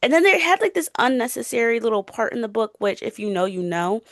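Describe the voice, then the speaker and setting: Young woman chatting casually, creaky voice. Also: fairly high pitch, very animated, fast, a bit bright, no echo, clean studio sound. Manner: informal, emphatic, opinionated. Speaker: book podcast co-host. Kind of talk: casual book chat.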